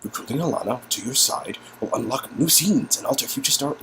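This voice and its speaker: A man's voice: mid-range voice, fast talker, pushed and bright, slight background noise, poor audio. A man co-hosting a casual read-through of a story game, narrating scenes and chatting. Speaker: co-host and narrator.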